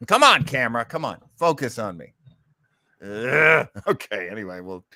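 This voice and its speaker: A man's voice: mid-range voice, sing-song, moderate pace, pushed and bright, dry recording, studio quality. An American man hosting a casual radio fan show, speaking in a theatrical, effusive and self-mocking manner. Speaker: host. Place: indoors at home.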